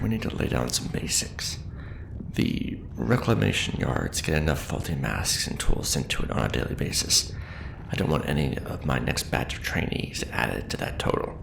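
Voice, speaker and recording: A man playing a seasoned, patient and wry craftsman teacher in an ASMR roleplay, speaking in a measured, hushed way with dry humor. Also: rumbling low, very animated, gravelly, roomy sound, background noise, exaggerated voice. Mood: patient, cautioning. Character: seasoned male sci-fi fantasy mask-forging craftsman teacher, patient, wry. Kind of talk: ASMR roleplay, instructional monologue.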